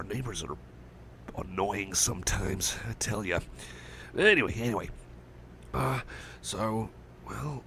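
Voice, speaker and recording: A man plays a caring young cyborg friend in a soft ASMR roleplay, speaking low with a gravelly fry and a rambling, hesitant warmth. He slips into whispers now and then. Faint noise hums under the recording. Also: heavily acted. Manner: soft, hesitant and rambling, with many fillers and restarts; drops to soft whispers at times. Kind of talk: ASMR roleplay, personal attention.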